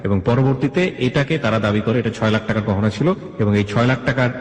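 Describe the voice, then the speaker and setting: A man's voice: lowish voice, fast talker. A man with a Bangladeshi accent hosting an interview, asking probing questions and recapping details with some English words mixed in. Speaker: interview host. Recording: echoey room with long reverb.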